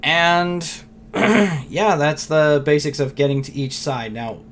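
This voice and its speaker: A man who is losing his voice talks loosely through a software tutorial, rambling and thinking aloud with fillers and throat clearing. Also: on the high side, takes it slowly, a little hiss or hum. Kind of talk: casual screen-recorded tutorial.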